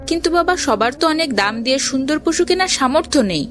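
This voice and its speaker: A woman's voice: sits high, lively intonation, a little fast, a bit bright, faint music behind. A woman playing a curious, stubborn young boy in a children's cartoon, with an earnest, childlike manner. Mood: eager curiosity.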